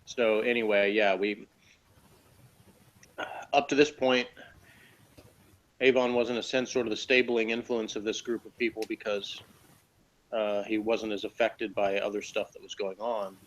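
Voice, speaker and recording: A man giving his casual opinion in a review chat, halting, informal and noncommittal, on slightly dull lo-fi audio. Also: lowish voice, little pitch movement, fairly bright tone. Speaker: reviewer, discussion guest.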